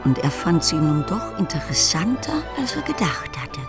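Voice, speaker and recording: A woman's voice: low-pitched, very animated, moderate pace, loud music behind the voice. A woman narrating a period novel for a radio play in a scripted, literary storytelling style.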